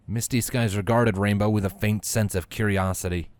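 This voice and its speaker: A man with a fairly low voice narrates a piece of fan fiction. He reads from a script as a storyteller. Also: steady tone, normal speed, a little mellow, no echo.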